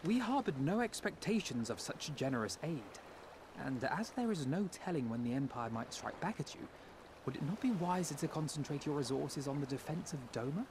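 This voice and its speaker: An actor playing a level-headed, courteous envoy in a video game, with formal, careful phrasing. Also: male voice, on the high side, lively intonation, moderate pace, noisy background. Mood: cautious.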